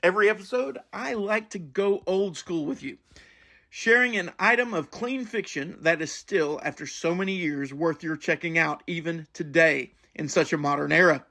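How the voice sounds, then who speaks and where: A male podcast host with a fairly high voice and noticeable vocal fry. He delivers a scripted monologue earnestly, explaining things as he goes. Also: moderate pace, bright, forceful tone.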